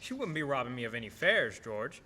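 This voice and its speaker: Man as humble, animated hard-up cabbie. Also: medium pitch, a little fast, a little hiss or hum. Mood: downcast, sheepish. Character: humble, hard-up, grateful taxi driver. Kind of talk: radio play acting.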